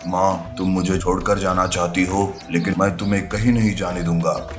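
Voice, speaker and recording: Loyal young storybook lion, acted by a man: very deep, flat intonation, resolute protector, faint music underneath. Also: moderate pace, natural voice. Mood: pleading.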